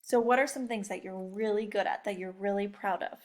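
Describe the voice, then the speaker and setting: A woman's voice: mid-range voice, fairly even intonation, fairly quick. A young woman teacher chatting casually and encouragingly to children, often putting questions straight to her listeners. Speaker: teacher leading a read-aloud lesson.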